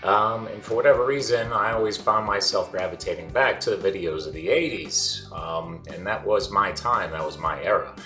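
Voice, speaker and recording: A man's voice: lowish voice, moderate pace, few pauses, sharp tone. A man in his forties hosting a casual vlog, talking in a rambling way with many fillers and restarts. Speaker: channel host. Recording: echoey room with faint music. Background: faint background music.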